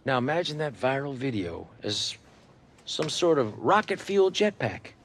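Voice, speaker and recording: An actor playing a middle-aged American football executive, theatrical and self-satisfied, given to mocking imitations in a game cutscene. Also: male voice, medium pitch, sing-song, measured pace, a little hiss or hum. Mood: scheming. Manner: mocking, puts on exaggerated imitations. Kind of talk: video game cutscene acting.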